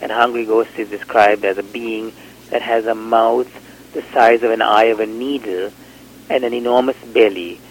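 A man's voice: fairly low pitch, steady tone, normal speed, a little hiss or hum, low-quality recording. A man from Sri Lanka, a novelist, answering interview questions in a reflective, hesitant way with many fillers and restarts.

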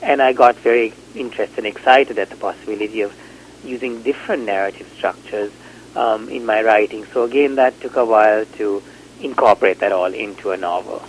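A man's voice: on the low side, a little fast, slight background noise, low-quality recording. A man from Sri Lanka, a novelist, answering interview questions in a reflective, hesitant way with many fillers and restarts.

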